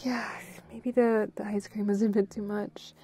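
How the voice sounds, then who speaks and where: A woman playing a bubbly, playful, affectionate young girlfriend in an intimate roleplay audio, giggly and given to quick repeated phrases. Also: medium pitch, slight background noise, lightly acted. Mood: nervous, reassuring. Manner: giggly, breaks into short excited repeats.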